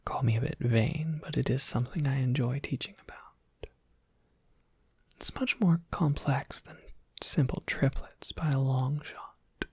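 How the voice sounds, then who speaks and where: A very breathy, whispering monster professor, acted out by an ASMR performer, courteous and bookish. The speech is unhurried and given to genteel rambling, with a creaky edge, as in a soft roleplay. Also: medium pitch, no room sound, studio quality. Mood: chatty, genteel. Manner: polite, gently scholarly, prone to rambling.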